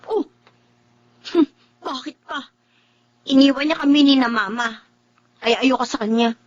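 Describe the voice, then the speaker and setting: A woman's voice: high voice, measured pace. A woman acting a frank young friend with some bitterness in a Filipino radio drama. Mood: resentful. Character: frank young schoolmate, resentful of absent father. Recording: dull, muffled audio.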